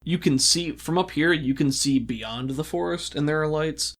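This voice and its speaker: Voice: mid-range voice, moderate pace. Casual game master, mid-range voice. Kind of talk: improvised tabletop game narration.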